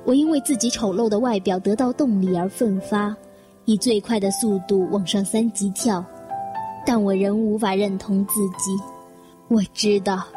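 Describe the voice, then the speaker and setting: A woman's voice: mid-range voice, dark tone, natural voice. A woman playing a bitter, self-deprecating career secretary in an audio drama, giving a brooding, wistful inner monologue. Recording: music behind the voice.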